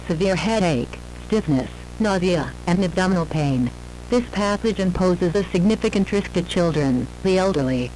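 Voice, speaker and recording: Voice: mid-range voice, darkish tone. Noisy emergency alert announcer, formal and scripted. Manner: formal, measured read-out.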